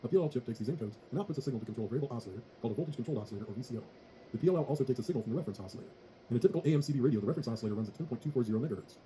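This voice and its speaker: Very dark-voiced man narrating briskly, faint noise. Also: medium pitch, lively intonation, low-quality recording. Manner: formal, methodical. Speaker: tutorial presenter. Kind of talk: scripted technical tutorial narration.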